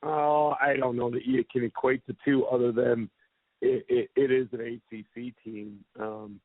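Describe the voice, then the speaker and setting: A man's voice: medium pitch, little pitch movement, normal speed, dry recording. A man, a head football coach, answering reporters' questions on a press conference call in a candid, plainspoken, self-deprecating way. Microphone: telephone. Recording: tinny phone line, dry.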